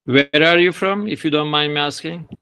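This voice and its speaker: A man's voice: a little high, moderate pace, bright, forceful tone, clean tone. A man with a Turkish accent chatting casually and politely in an online meeting as a presenter, a little hesitant in his wording. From Turkey. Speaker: conference presenter.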